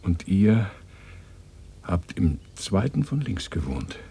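An actor in a radio drama playing a bitter, probing former resistance fighter with a sardonic, interrogating manner. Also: male voice, low voice, sing-song, slow, vocal fry, mellow, breathy edge, full character voice. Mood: probing, wry. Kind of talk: 1980s German radio drama dialogue.

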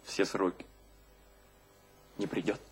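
An actor playing an anguished, lovestruck young poet in a radio drama, brooding and theatrical. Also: male voice, slow pace, lightly acted. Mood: bleak. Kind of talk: stage-trained radio drama acting.

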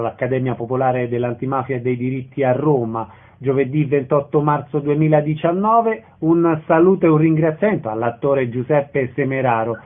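A man working as a radio interviewer, asking questions and announcing event details. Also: medium pitch. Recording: narrow band-limited radio sound.

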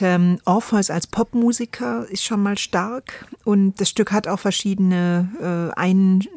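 A woman co-hosts a radio discussion in a mellow, close and dry-sounding voice. She talks conversationally and thoughtfully, setting out her ideas phrase by phrase. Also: on the low side, dry recording. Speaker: co-host. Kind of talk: conversational radio discussion introducing an audio play.

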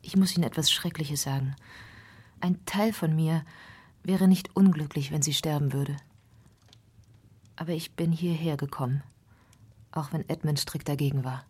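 A woman's voice: sits low, steady tone, normal speed, long pauses, a little mellow, breathy edge. A woman acting an anguished, formally polite Victorian lady of the house in a radio crime drama, speaking earnestly.

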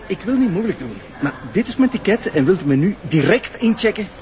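Muffled, noisy TV drama: an impatient, threatening Flemish traveller, high-pitched and rude. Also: male voice, very animated, a little fast, a little mellow. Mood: impatient, aggressive.

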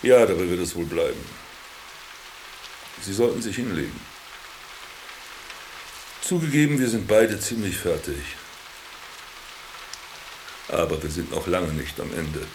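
A world-weary, sardonic companion in a radio drama. His lowish voice moves in wide swings, dry and formally polite, over a faintly noisy background. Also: lively intonation, measured pace, pauses often. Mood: soothing. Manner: dry, ironic, formal address. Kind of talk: German radio drama dialogue.

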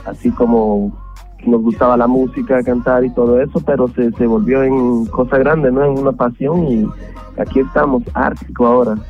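Guatemalan-born musician guest, relaxed chatty delivery, heard over a phone line. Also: male voice, mid-range voice, moderate pace, faint music behind. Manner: relaxed, conversational, many fillers. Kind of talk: radio interview.

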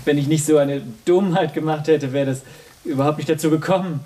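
A man's voice: on the high side, fairly even intonation, a little fast, dark tone, recorded from a distance, close to an everyday voice. An actor playing a polite, cautious man who talks in a tentative, ingratiating way in a German radio play. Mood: ingratiating, careful. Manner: conciliatory, tentative.

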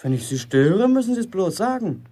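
A cheeky teenage apprentice in a radio drama. His voice is fairly high and mellow with a gravelly edge, and it swings animatedly as he needles and boasts in everyday slang. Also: sing-song, fairly quick, full character voice. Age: young adult. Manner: cocky, needling, colloquial. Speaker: actor in a radio play. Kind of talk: naturalistic radio drama dialogue.

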